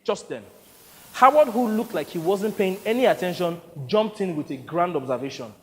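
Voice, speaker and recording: Lively, high-voiced male storyteller. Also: normal speed, long reverb tail. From Nigeria. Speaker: narrator. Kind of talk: first-person audiobook narration with voiced characters.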